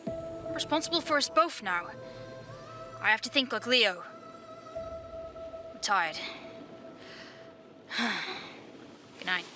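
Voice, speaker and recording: A woman's voice: fairly high pitch, sing-song, slow, pauses often, over music. A woman playing a weary, anxious, responsible older boy in an audio drama, speaking in a subdued, hesitant way. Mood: weary, burdened.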